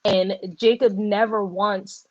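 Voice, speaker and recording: A woman's voice: medium pitch, slow pace, no room sound. Chatty woman with a dull lo-fi mic. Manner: animated, rambling, self-correcting. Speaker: podcast host. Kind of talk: casual book discussion.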